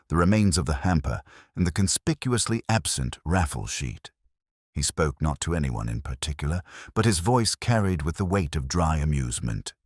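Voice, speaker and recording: A very low-voiced man tells a mystery story with dry, literary wit. His delivery is measured yet swings widely in pitch, with a husky edge and a close, dry sound. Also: no room sound. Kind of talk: scripted dramatic story narration.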